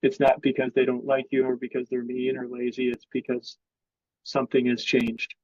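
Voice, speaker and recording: A man's voice: mid-range voice, completely flat delivery, moderate pace, a little mellow, no room sound, highs cut off. A man speaking as an interview guest in sales, explaining his thinking in a casual business conversation.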